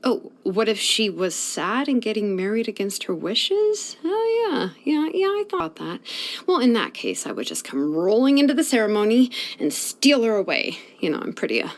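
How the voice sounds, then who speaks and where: An actress playing a sleepy, laid-back young woman blacksmith in a fantasy video game, speaking in a casual, unhurried way. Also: fairly high pitch, sing-song. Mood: casual, musing. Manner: unhurried, casual, hesitant drawl with sighs. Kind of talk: English video game voice acting.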